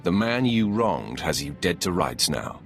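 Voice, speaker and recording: A commanding dark warrior lord in a sci-fi video game. The voice is deep and expressive, measured and sure of its authority, with faint music behind it. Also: male voice, creaky, full character voice. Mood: cool, commanding. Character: commanding, self-assured male Sith lord.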